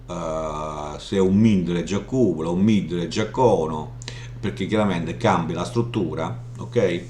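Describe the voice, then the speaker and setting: A man giving an informal software walkthrough, explaining haltingly and often checking in as he goes. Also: sits low. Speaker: software tutorial presenter. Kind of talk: informal screen demo walkthrough. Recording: roomy echo, audible background hum noise.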